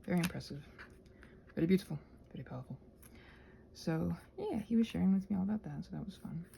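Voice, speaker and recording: A woman's voice: fairly low pitch, animated, fast talker, vocal fry, warm tone, slight background noise. A woman chatting casually in a whimsical, digressive way while she does crafts. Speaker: craft vlogger.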